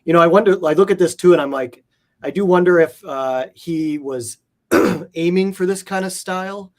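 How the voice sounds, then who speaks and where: A man's voice: high voice, moderate pace. A man speaking casually as an interview guest, explaining things in a hesitant, circling way. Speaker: guest, comic art collector.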